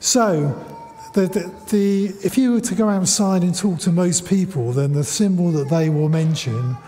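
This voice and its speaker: A middle-aged man with a fairly high but soft, mellow voice addresses a church congregation informally. He talks conversationally, with some hesitation, and the large hall rings around him. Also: normal speed, soft background music. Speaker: church service leader. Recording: echoey church hall with background noise.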